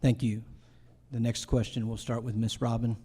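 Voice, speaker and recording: A man's voice: medium pitch, flat delivery, normal speed, dark tone, breathy edge. A man moderating a candidate forum, formally putting questions to speakers.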